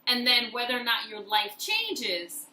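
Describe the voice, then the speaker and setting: Woman, bright forceful voice, motivational vlog host, emphatic repetition, roomy echo. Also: on the high side, very animated, a little slow. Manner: emphatic, repeats phrases for effect. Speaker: host of a personal-growth video series. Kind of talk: motivational talk to camera. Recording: roomy echo, faint background noise.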